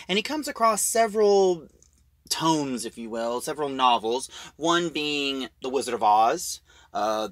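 A man giving an informal spoken review, retelling a plot in a halting, emphatic storyteller's way. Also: mid-range voice, a bit bright, dry recording.

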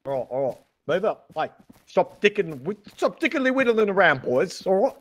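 A man in casual gaming banter as squad leader, excitable, goofy and foul-mouthed. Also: high voice, brisk. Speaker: gamer playing squad leader.

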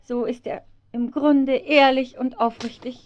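A woman's voice: high voice, fairly even intonation, unhurried, a little mellow, no echo. An actress playing a frail, worried wife and mother in an audio drama, earnest and plaintive. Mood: anxious, pleading. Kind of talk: 1970s German radio play acting. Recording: dull vintage audio-drama recording.

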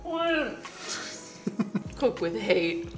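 Young woman, bright lively voice, laughing, teasing, music behind. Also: fairly high pitch, drawn-out words. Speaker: vlogger cooking at home. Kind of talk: casual banter while cooking. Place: home kitchen, indoors. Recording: roomy kitchen with music behind.

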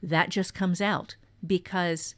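A woman's voice: on the low side, steady tone, slow, a bit bright. A woman co-hosting a podcast, giving blunt, emphatic writing advice in a conversational way. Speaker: writing teacher and podcast co-host.

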